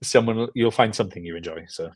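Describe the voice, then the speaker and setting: A man in his thirties with a fairly low voice and a dry, close sound. He talks casually as a filmmaker being interviewed over a video call, and often doubles up words for emphasis. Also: fast talker, dry recording. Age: 30s. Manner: repeats words and phrases for emphasis. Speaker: film director and producer, interview guest. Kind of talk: casual chat. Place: small furnished room at home, indoors. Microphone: video call with earbuds.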